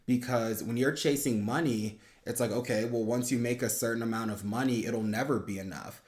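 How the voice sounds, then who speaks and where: A man's voice: mid-range voice, fairly quick, a little mellow. A young man giving earnest, reflective faith-based commentary in a conversational, explanatory way, as the host of a review video. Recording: roomy, echoing sound.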